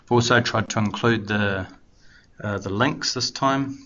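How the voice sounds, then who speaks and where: A man's voice: lowish voice, a little slow, a bit bright. A man with a New Zealand accent hosting a webinar, opening casually with lots of hesitant fillers. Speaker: webinar host. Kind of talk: webinar introduction. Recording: dull lo-fi webinar audio with faint background noise.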